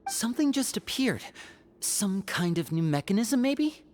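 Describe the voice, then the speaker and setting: A woman playing a calm, curious young adventurer in a video game. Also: mid-range voice, measured pace, soft background music.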